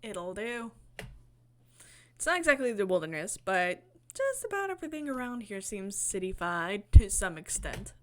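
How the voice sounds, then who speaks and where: A woman's voice: medium pitch, very animated, moderate pace, fairly bright tone. A woman streaming a visual novel, reading the narration aloud in a casual way with her own commentary. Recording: game music behind the voice. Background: guitar game music.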